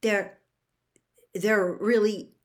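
A woman's voice: medium pitch, fairly even intonation, extremely slow. A woman voicing a polite, awkward, kindly teenage boy wizard in a storybook, hesitant and inclined to mutter. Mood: awkward, sheepish.

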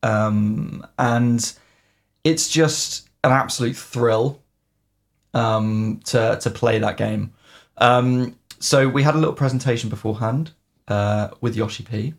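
A man co-hosting a casual podcast chat, often pausing on "um" as he talks. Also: mid-range voice, creaky. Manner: hesitant, filling pauses with "um". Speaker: podcast co-host. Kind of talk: casual chat about video games.

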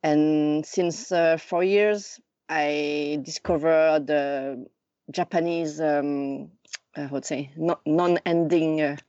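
A woman in her thirties from Lausanne with a Swiss French accent, a podcast guest who talks casually and often pauses to search for words. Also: low voice, steady tone, warm tone, dry recording. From Lausanne, Switzerland. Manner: halting, searching for words, many fillers. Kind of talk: casual conversation. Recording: dull, dry remote recording.

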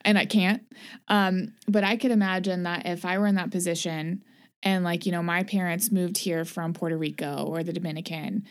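Woman host gently asking questions. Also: lowish voice, fairly even intonation, normal speed. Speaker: podcast host. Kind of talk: guided discussion.

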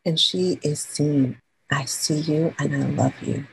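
Low gravelly-voiced woman guest. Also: a little slow, slight background noise, poor audio. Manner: animated storyteller, slangy, acts out quotes. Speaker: podcast guest. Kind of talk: casual interview chat.

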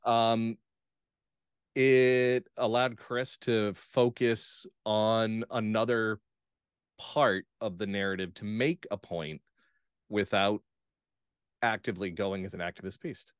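A man talking casually and reflectively as an interview guest, phrasing his thoughts in measured pieces. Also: fairly low pitch, fairly even intonation, smooth, no room sound, clean studio sound. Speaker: guest playwright and actor. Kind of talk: casual discussion.

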